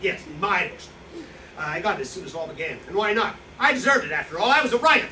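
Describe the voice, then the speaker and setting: A distant, noisy recording of a staged play with a man acting a proud old drinker of a writer. His voice sits a little high and jumps around in pitch. He rambles, halts and defends himself boastfully. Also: sing-song, bright, forceful tone, exaggerated voice. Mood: defensive, boastful. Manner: rambling, halting, self-justifying. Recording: distant echoey room, noisy draft recording.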